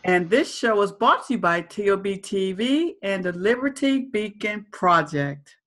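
A woman's voice: medium pitch, lively intonation, measured pace, a bit bright. A middle-aged woman, interviewing conversationally as a talk show host on a video call. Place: indoor room.

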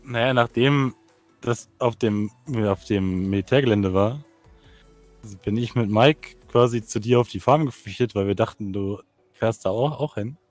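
A man's voice: lowish voice, moderate pace, darkish tone, faint music behind. A man chatting casually in character as a player in an online roleplay game.

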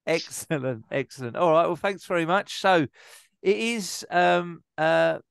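A man's voice: fairly high pitch, a little slow, gravelly, smooth, no room sound, studio quality. A middle-aged man co-hosting a podcast over a headset, jovial and chatty, closing with a catchphrase. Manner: jovial, wraps things up with a catchphrase. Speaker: podcast co-host. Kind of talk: casual podcast chat. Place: video call.

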